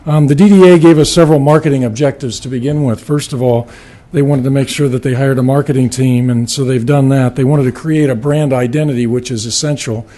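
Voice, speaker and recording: A man with a raspy voice giving an earnest presentation to a city board, with a few fillers and restarts. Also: medium pitch, steady tone, dark tone. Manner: earnest, slightly halting with fillers. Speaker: marketing firm presenter. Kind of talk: public presentation at a city meeting. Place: city commission meeting room.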